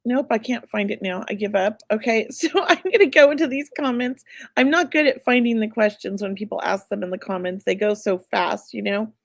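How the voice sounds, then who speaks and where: A woman hosting a live psychic reading, chatty and reassuring, going a bit hoarse as she loses her voice. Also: medium pitch, a little fast, no echo, studio quality. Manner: chatty, reassuring, many filler words. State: losing her voice. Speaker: psychic medium host.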